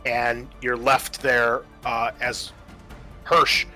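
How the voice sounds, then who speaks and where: A man's voice: mid-range voice, level tone, very slow, bright, forceful tone, faint music behind. A man acting as game master, narrating and explaining the rules of a tabletop horror roleplaying game in a conversational way.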